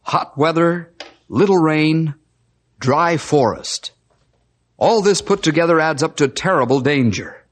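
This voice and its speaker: A man's voice: on the high side, measured pace, a little hiss or hum. A man narrating an old-time radio adventure as announcer, dramatic and emphatic.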